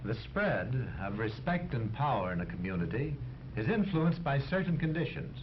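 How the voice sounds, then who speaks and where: An American man narrating a scripted 1940s educational film in a formal, authoritative, measured manner. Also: mid-range voice, very animated. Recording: dull 1940s film soundtrack, faint noise.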